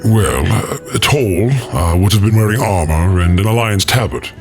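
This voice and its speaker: A level-headed adventurer played by a man keeps his companions in line with patient, dry courtesy. His deep voice moves expressively. Music is clearly present behind this fantasy audio drama. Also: normal speed, close to an everyday voice. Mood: polite. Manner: calm, dry, polite.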